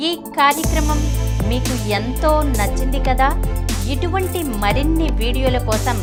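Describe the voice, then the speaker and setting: Woman doing a channel promo read, high voice, music playing behind her. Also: expressive, normal speed. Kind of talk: scripted promotional outro.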